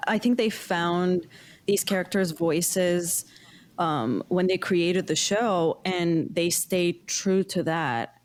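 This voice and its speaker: A woman's voice: fairly low pitch, slow. A woman talking casually as a cast member in a group podcast conversation. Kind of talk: casual panel chat.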